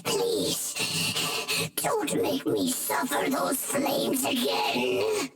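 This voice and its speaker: An actress playing a frightened captive pleading for mercy in a video game. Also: female voice, very deep voice, wildly swooping pitch, drawn-out words, music in the background, full character voice. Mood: terrified, pleading. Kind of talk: video game character acting.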